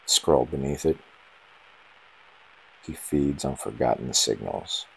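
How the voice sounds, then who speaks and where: A man reading a scripted first-person horror story in short, ominous, dramatic phrases, with a gravelly, husky voice. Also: rumbling low, slow pace, a bit bright, slight background noise, rough recording.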